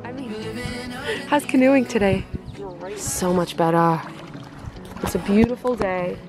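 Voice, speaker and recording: A woman's voice: medium pitch, expressive, measured pace. A young woman talks casually into a handheld camera while camping outdoors. She is chatty and laughs easily. Faint music plays underneath. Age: young adult. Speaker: vlogger. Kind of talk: casual vlog chat.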